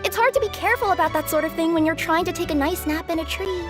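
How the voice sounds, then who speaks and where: Giggly lazy catgirl courier, real high voice, bit of music behind. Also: quick pace. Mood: sheepish. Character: cheerful young catgirl courier, playful and lazy. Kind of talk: video game voice acting. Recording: game audio with music.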